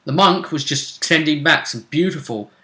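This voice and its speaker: A man co-hosting a casual recap of a tabletop role-playing game, telling it haltingly with dramatic emphasis. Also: fairly high pitch, expressive, pushed and bright.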